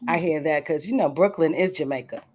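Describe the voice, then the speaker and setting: A woman co-hosting a radio talk show, chatting with a guest in a playful, teasing, high-energy way. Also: a little fast, darkish tone, slightly rough. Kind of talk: casual interview chat. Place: radio studio.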